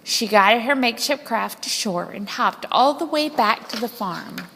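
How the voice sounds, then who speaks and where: A woman reading a children's story aloud in a dramatic, deliberate storytelling manner. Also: on the high side, animated, a bit bright, husky. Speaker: storybook reader. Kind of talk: scripted children's story narration, read aloud expressively. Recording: long hall-like reverb.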